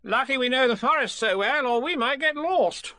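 A man voicing a bossy, cocksure male rabbit in a children's story, brisk and self-important. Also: extremely high pitch, expressive, bright, forceful tone, dry recording, studio quality, pitched above the performer's own voice, heavily acted. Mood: bossy, overconfident.

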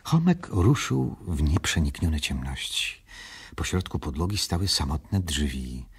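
Bardzo chropawy, niski męski głos narratora. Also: male voice, very deep voice, very animated, unhurried. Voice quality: husky, raspy. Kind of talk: scripted storybook narration.

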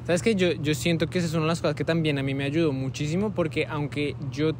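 A young man hosting a casual improvised interview on a campus, relaxed and chatty with frequent fillers. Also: a little high, fast talker, background noise. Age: young adult. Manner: relaxed, conversational, many fillers like "como" and "eh". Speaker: host, medical student interviewer. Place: outdoors on a university campus plaza with trees.

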